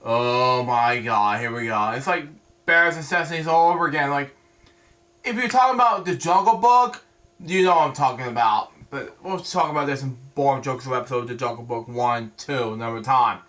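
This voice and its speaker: A man hosting a storybook read-aloud, halting and stumbling over words, drifting into rambling casual asides. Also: medium pitch, bright, forceful tone.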